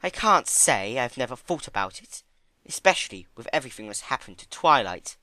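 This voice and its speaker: A man's voice: medium pitch, sing-song, a little fast, sharp tone, dry recording, voice pushed up. A man voicing a refined, vain female pony in an affected, theatrical manner for a dramatic storybook reading. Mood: musing. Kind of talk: dramatic fan fiction reading.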